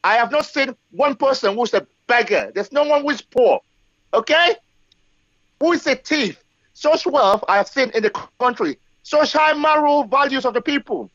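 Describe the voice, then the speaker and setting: Man, forties, from Africa, high voice, repeats points and keeps asking "okay?", dull recording. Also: lively intonation, normal speed, fairly bright tone, no echo. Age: 40s. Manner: emphatic, repetitive, rhetorical checks like okay and yes. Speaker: guest speaker. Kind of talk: live discussion.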